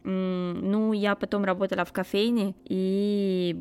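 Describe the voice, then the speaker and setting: A woman's voice: mid-range voice, level tone, a little slow, darkish tone, clear, resonant tone. A woman in her twenties with a Romanian accent answers interview questions conversationally, hesitantly searching for words as a non-native speaker. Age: 20s. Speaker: interview guest, foreign student.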